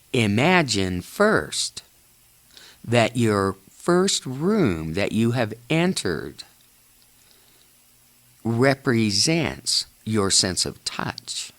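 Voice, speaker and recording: A man narrating a guided imagery meditation in short, measured phrases with long pauses between them. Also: lowish voice, big pitch swings, fairly bright tone.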